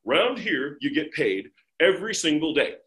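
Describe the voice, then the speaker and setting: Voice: mid-range voice, very animated, moderate pace, a bit bright, voice pushed down. Plain-spoken country farmer, no fuss, natural voice, from a storyteller.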